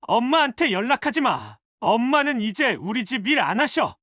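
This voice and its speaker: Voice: mid-range voice, big pitch swings, sharp tone, dry recording, clean studio sound. An actor playing an indignant adult son scolding a spouse with pointed, accusatory questions in a dramatized family story. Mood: angry, accusing. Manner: accusatory, rapid rhetorical questioning. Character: indignant adult son scolding his wife over his mother.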